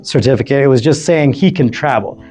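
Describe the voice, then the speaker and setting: A man's voice: mid-range voice, brisk, a little mellow, soft background music. A man in his forties telling his life story conversationally as a podcast guest. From United States and Saudi Arabia. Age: 40s.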